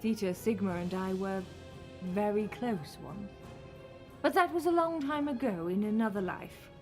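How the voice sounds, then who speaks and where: A woman's voice: mid-range voice, over music, lightly acted. A woman playing an imperious, scheming false goddess in an audio drama, commanding and condescending. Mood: silky scheming. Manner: commanding, slow condescending endearments.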